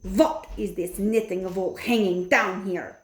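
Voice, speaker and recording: A woman's voice: mid-range voice, fairly even intonation, reverberant, close to an everyday voice. A woman voicing an evil, imperious female witch leader who snaps commands. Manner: snapping, commanding, drawn-out.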